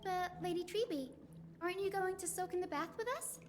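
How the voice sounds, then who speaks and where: Gentle young healer girl in a game, super high, kinda echoey. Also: a little fast. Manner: polite, soft, earnest. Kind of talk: video game voice acting.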